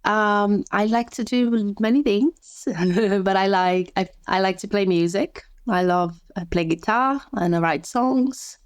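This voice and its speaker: A woman's voice: mid-range voice, slow pace, few pauses, clean tone, no echo, clean studio sound. A woman from Brazil, a podcast guest and sleep coach, chatting casually, often restarting her sentences and laughing easily. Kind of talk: casual interview chat.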